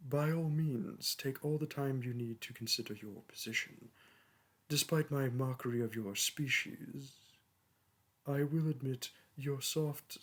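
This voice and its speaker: Proud, centuries-old dragon teacher, played by a man, medium-pitched, lofty and courtly in speech, for a romance audio drama. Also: fairly bright tone, husky. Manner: grandiloquent, archaic, formal phrasing. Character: proud, ancient male dragon teacher, haughty yet amorous.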